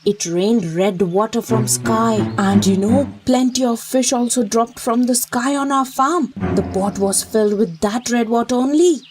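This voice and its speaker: Woman acting a high-voiced naive village wife. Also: unhurried, few pauses, natural voice. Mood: earnest. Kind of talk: animated storybook voice acting. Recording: music clearly behind the voice.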